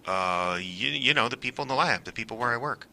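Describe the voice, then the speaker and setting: A man's voice: medium pitch, expressive, brisk, sharp tone. A man playing a nervous, placating friend in an audio drama, hesitant and stammering but earnest. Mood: awkward, placating. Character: nervous, placating male friend withholding a secret. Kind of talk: naturalistic audio drama acting.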